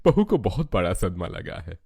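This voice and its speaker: Man voicing a solemn low-pitched older father-in-law. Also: big pitch swings, moderate pace, creaky, darkish tone, breathy edge, exaggerated voice. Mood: grave, sympathetic. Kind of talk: Hindi cartoon story dialogue.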